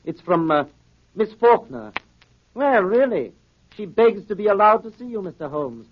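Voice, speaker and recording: An actor playing a pompous, sardonic gentleman villain in 1930s radio style, scornful and combative. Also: sits high, very animated, moderate pace, a little hiss or hum. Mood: smooth, sardonic. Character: blustering, sardonic gentleman villain, master of house. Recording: dull 1938 radio broadcast recording.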